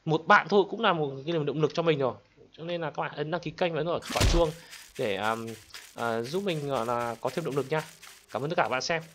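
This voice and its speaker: A man hosting gameplay in an exclamatory, excited commentary style, addressing viewers like friends. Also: a little high, fast talker, a little hiss or hum. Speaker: gaming video host. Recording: loud game gunfire and clicks behind. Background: game gunshots, explosions and clicks.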